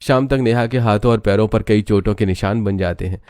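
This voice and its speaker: A man's voice: lowish voice, fairly quick, a little mellow. A man giving scripted storybook narration for a family cartoon.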